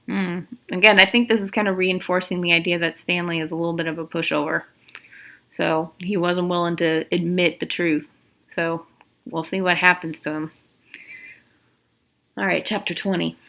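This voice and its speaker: A woman's voice: fairly low pitch, little pitch movement, normal speed, sharp tone. A woman narrating a novel as a read-aloud, reading expressively with an occasional stumble. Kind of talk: storybook read-aloud with brief asides.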